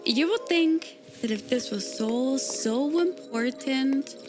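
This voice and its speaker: A woman playing a slow, sarcastic guild master. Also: sits high, expressive. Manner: dry, sarcastic and unimpressed. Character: grumpy, sarcastic young guild master who sells potions. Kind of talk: scripted voice drama. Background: background music.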